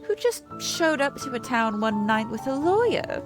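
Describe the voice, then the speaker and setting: A woman's voice: a little high, big pitch swings, moderate pace, over music, voice pushed up. Woman voicing a perky teen girl reporter. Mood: gossipy. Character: nosy teenage girl reporter, perky and gossipy.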